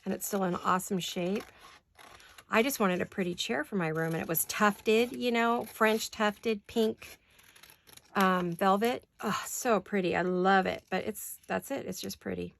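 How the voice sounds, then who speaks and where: A woman's voice: medium pitch, measured pace, vocal fry, a little hiss or hum. A middle-aged woman rambling chattily while she works on paper crafts in her home studio, joking at her own expense. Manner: rambling, self-deprecating, talks while working. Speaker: craft vlogger.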